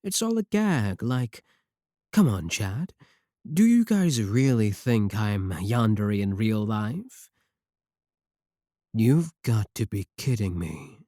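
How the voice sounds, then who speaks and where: A man acts out a male yandere streamer in an audio roleplay, with a low voice that swings expressively. He justifies himself to his chat with careful pauses and a defensive show of innocence, in a dry, close recording. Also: very animated, warm tone, no echo. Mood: feigned innocence, defensive. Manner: hesitant, defensive, explanatory, with deliberate pauses. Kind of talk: ASMR roleplay monologue as livestream talk to chat.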